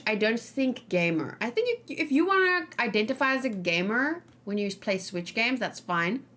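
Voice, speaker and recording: A woman's voice: on the high side, fairly bright tone. A woman gaming streamer talking casually and informally, rambling and thinking aloud as she hosts a let's play.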